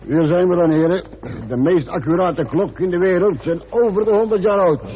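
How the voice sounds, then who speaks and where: A man's voice: high-pitched, moderate pace, crowd noise, close to an everyday voice. An actor playing a jocular, proud clock-tower guide in a radio drama, with courteous showman's patter. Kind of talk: Dutch radio drama acting. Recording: noisy, tinny old radio recording.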